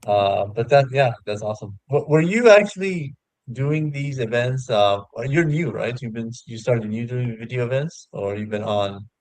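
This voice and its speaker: A man chatting casually in a halting, rambling way on a live online show. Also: mid-range voice, darkish tone, no echo, rough recording. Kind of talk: casual chat.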